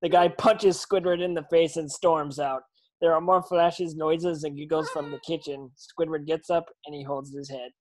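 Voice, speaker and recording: A man's voice: fairly high pitch, fairly even intonation, normal speed, slight background noise, poor audio. A man reading stage directions as the narrator of a table reading, occasionally stumbling over the script.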